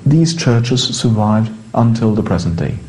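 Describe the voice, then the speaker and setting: A Norwegian priest, a man with a Norwegian accent, explains things conversationally in English, halting and rephrasing as he goes. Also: lowish voice, animated, a little mellow, a little hiss or hum, rough recording. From Norway.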